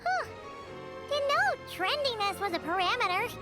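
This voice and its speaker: A woman voicing a small, childlike, chatty companion creature in a video game, with a squeaky voice. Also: big pitch swings, normal speed, loud music behind the voice. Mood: unimpressed. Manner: speaks of self in third person. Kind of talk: video game character acting.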